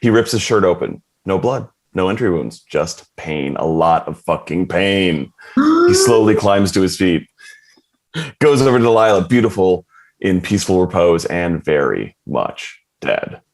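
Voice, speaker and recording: A man's voice: low-pitched, huge pitch swings, normal speed. A man narrating a TV script's action and stage directions at a table read.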